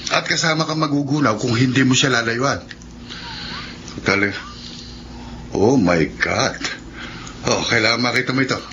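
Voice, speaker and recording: A man's voice: medium pitch, moderate pace, pauses often, sharp tone, natural voice. An actor playing a gossipy, alarmed male friend with an urgent, insistent delivery in a radio drama. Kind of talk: Filipino radio drama acting. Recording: dull lo-fi radio, noisy background.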